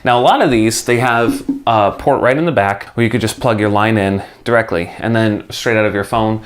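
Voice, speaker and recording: A man's voice: fairly low pitch, brisk, slight background noise. A man talking casually to camera as a video host, explaining things in an informal, conversational way. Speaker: video host, music video filmmaker. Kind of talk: casual instructional vlog talk to camera.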